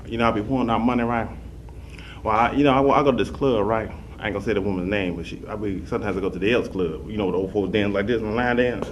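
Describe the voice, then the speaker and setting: Guy rattling off a story fast, a little noise behind him. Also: fairly low pitch. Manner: animated, acts out voices and sounds. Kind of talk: casual storytelling.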